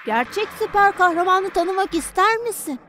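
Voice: extremely high pitch, lively intonation, moderate pace, pushed and bright, slight background noise, exaggerated voice. A performer voicing a sensible young boy, an older brother who explains and corrects, in a dubbed children's cartoon. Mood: explaining.

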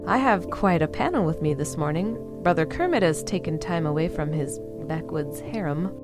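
A wry, sardonic female android voiced by a woman hosts an audio-drama broadcast in a low voice. She speaks formally and articulately, with clear music playing underneath. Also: animated, normal speed. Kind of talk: audio drama, talk-show hosting.

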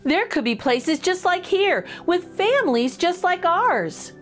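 High, animated middle-aged woman reading to children. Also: faint music behind. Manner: expressive, slow, exaggerated intonation. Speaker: children's storytime host reading a picture book aloud. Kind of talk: animated read-aloud for young children.

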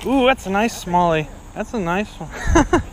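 Slow-talking young man, jokey and laid-back. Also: high-pitched, expressive, slight background noise, rough recording. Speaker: fishing vlogger. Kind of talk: casual chat while fishing. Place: outdoors on a wooded river. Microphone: action camera worn or held by him.

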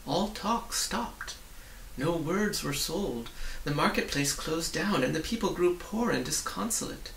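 A man voicing a sad, gentle old woman who speaks formally and wistfully, as in a storybook. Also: on the high side, animated, a little slow, vocal fry, heavily acted. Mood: regretful. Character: sad, gentle old woman, a disgraced former royal official. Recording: roomy, distant mic, hissy.

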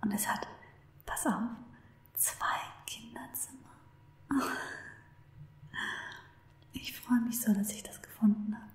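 A woman playing an obsessive, lovestruck stalker in an ASMR roleplay, cooing tenderly to her captive in an unhurried, breathy whisper, close to the microphone. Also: mid-range voice, fairly even intonation, reverberant, close to an everyday voice. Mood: excited, adoring.

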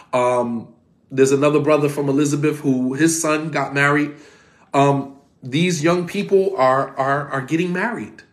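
A man in his forties gives an online class from his home, speaking straight into a phone camera at his desk. His voice sits fairly high for a man. He talks in a casual, animated way and drives points home by repeating them. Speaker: Islamic teacher. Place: home room, indoors.

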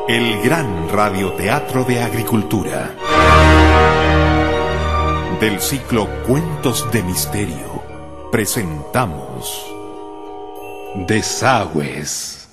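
A man solemnly announcing scripted radio drama credits in a dramatic style. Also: fairly low pitch. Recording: loud music under the voice.

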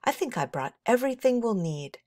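A woman's voice: on the high side, big pitch swings, brisk, mellow, no echo, clean studio sound, voice pushed up. A woman voicing an eager, bossy schoolboy who plans everything in a children's storybook, speaking emphatically.

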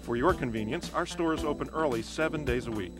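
A man reading a scripted local store advertisement in a steady sales-pitch manner. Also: fast. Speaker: commercial announcer. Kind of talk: local radio-style ad voice-over. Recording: voice-over over loud music.

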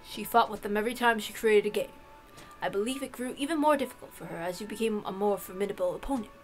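A woman playing a deferential old male butler in a visual novel, speaking in a grave, formal, measured way. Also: mid-range voice, soft background music, close to an everyday voice, low-quality recording. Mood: grave, explanatory. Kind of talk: visual novel character reading.

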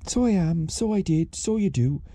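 A man acts out an elderly Irish grandad, confused and pedantic. The voice is very mellow and dull-edged, with a lively rise and fall and a dry, close sound. He rambles hesitantly and repeats himself. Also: on the high side, normal speed, creaky, dry recording. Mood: pedantic, baffled. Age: older. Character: doddering, pedantic old grandad, a confused senior citizen.

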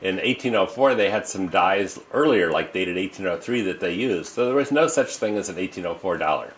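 Older male storyteller, slightly low voice, talks to camera from a desk with frequent uhs and restarts. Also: lively intonation, brisk, a little hiss or hum. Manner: rambling, with many uh fillers and restarts. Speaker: coin dealer and auctioneer. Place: office with desk and leather chair, indoors. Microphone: fixed camera, seated at desk.

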